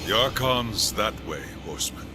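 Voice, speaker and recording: An actor playing a solemn veteran angel warrior on guard in a video game, speaking in a measured, formal way. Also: male voice, lowish voice, animated, close to an everyday voice. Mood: measured, earnest. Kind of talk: video game cutscene acting. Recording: music behind the voice.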